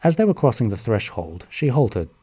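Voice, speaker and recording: A narrator reading a fan-made cartoon radio play in plain, even storytelling. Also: mid-range voice, normal speed, breathy edge, no room sound.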